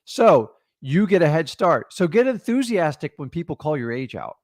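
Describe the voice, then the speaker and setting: A man's voice: fairly high pitch, big pitch swings, normal speed, dry recording. Emphatic middle-aged man coaching, dry sound. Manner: emphatic, motivational, direct. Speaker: real estate coach. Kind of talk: energetic coaching talk.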